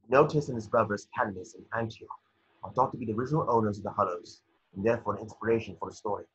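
An eccentric, absent-minded old wizard read by a man in a drama table read. The voice is very husky and rather low, heard through dull online-call audio. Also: racing, a bit bright. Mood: grave, distracted. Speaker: narrator and actor in table read. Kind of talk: scripted stage-direction narration and character reading.

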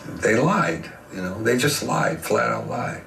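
A man's voice: lowish voice, expressive, unhurried, gravelly, recorded from a distance. A middle-aged man telling a story bluntly and casually in an interview recorded in a car. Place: inside a car at night. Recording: roomy, noisy, off-mic car interview.

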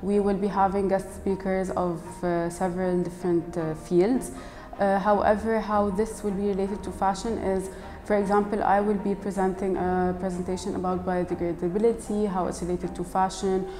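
A woman's voice: medium pitch, level tone, normal speed, mellow. A woman in her early twenties from Lebanon, giving an interview testimonial as a student. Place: indoors against a plain wall and banner. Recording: reverberant hall, music underneath. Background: clear background music.

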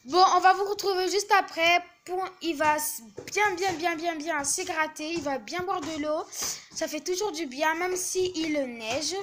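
A woman's voice: very high voice, unhurried, a bit bright, slight background noise. Young girl rambling behind a phone. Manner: hesitant, many fillers. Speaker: camera operator. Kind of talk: casual home video chat. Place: small home living room. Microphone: phone camera held by speaker.

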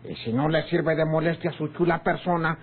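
A man's voice: a little high, little pitch movement, brisk, slightly rough. Folksy Mexican ranch foreman, tinny sound. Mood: cheeky, flirtatious. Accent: rural Mexican. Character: cheeky, flirtatious Mexican ranch foreman, rough around edges. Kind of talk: old radionovela acting. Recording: tinny, noisy old radio drama recording.

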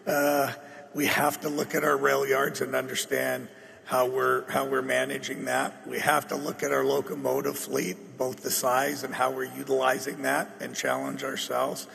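A middle-aged man with a North American accent giving a measured, halting, unscripted business explanation as an executive at a meeting. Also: medium pitch, completely flat delivery, vocal fry, fairly bright tone. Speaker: company executive answering at an annual shareholder meeting. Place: large meeting hall stage. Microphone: table microphone. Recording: echoey hall through PA.

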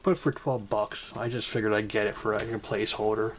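A man's voice: on the low side, steady tone, a little fast, a little hiss or hum. A man casually talking to camera about his comic book haul, rambling with many ums and restarts, in a room at home. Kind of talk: casual vlog talk to camera.

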